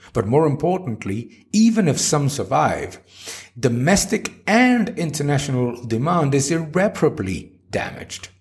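Emphatic man with widely swinging intonation. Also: medium pitch. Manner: emphatic, opinionated, argumentative. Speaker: article author reading his economics commentary aloud. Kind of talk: scripted spoken-article narration with emphatic commentary.

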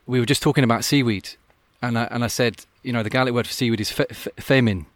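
A man's voice: mid-range voice, fairly even intonation, fast, no room sound. A man who works as an open-water swim coach, talking earnestly and thoughtfully in a casual podcast interview, often restarting his sentences. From Scotland.

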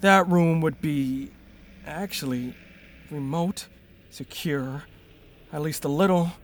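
A man playing a wary, protective, analytical rebel in a sci-fi radio drama, speaking tersely and deliberately. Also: on the high side, a little hiss or hum. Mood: measured, thoughtful. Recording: music behind the voice.

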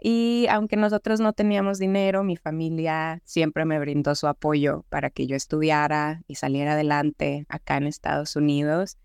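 A woman's voice: low-pitched, little pitch movement, normal speed, creaky, hardly any pauses, darkish tone, clean tone, no echo, studio quality. A young woman from the Juárez border region, a podcast guest talking in a friendly, earnest way with many fillers. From Mexico, Juárez border region. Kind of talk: podcast interview.

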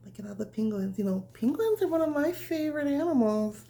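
A woman reading a picture book aloud as a bedtime storyteller, speaking in a nurturing, simple way as if to a small child, with a breathy voice that often drops toward a whisper. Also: high-pitched, expressive, a little fast, dark tone.

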